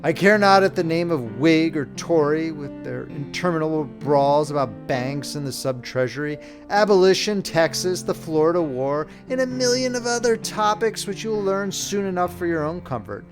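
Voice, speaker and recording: A man voicing the careworn old year, a world-weary elder sister in a storybook, speaking gravely and heavily. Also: on the high side, expressive, moderate pace, few pauses, music in the background. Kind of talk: storybook character reading.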